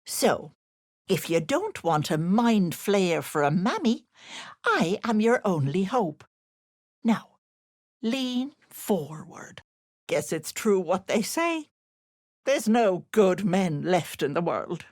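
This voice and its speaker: A wicked old hag from a video game, posing as everyone's sweet auntie. Her delivery is theatrical and unhurried, swooping up and down in pitch. She coos cloying endearments, then slips into menace, all in a close, dry recording. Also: sing-song, a little mellow, no echo. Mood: coaxing. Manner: cloying pet names sliding into menace.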